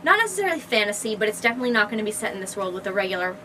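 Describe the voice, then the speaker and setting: A woman's voice: mid-range voice, very animated, rapid-fire, sharp tone. A woman author talking casually and enthusiastically about her work, in a rambling, informal way. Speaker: fantasy author. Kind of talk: casual book discussion. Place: indoors in a bookstore. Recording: noisy room recording, background ambience.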